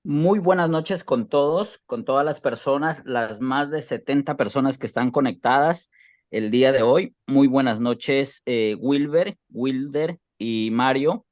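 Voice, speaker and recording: Ecuadorian male team leader on a call, formal and deliberate, dry close sound. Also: mid-range voice, no echo. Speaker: team leader asking questions. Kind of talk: formal greeting and question on a group call. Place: online group video call.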